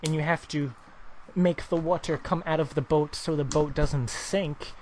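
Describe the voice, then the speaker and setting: High-pitched, rambling man on a noisy recording. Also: steady tone, moderate pace, darkish tone. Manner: feigned confusion, rambling. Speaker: prank caller. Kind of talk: prank phone call, improvised absurd chat.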